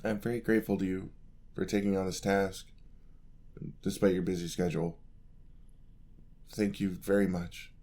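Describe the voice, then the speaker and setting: A man's voice: sits low, level tone, moderate pace, pauses often. A man playing a sweet, eager young paladin apprentice in a fantasy ASMR roleplay, speaking politely and earnestly to the listener. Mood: caring, grateful. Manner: polite, earnest, gently encouraging.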